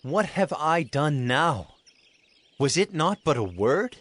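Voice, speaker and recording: An actor playing a bold, defiant young shepherd of strong faith in an earnest, declamatory audio scripture drama. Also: male voice, a little high, very animated, slow, a bit bright, no echo, heavily acted. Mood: protesting.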